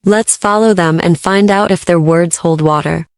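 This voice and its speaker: Menacing female pirate, actress, lowish register, cool, scheming delivery, anime audio drama. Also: normal speed, no room sound, lightly acted.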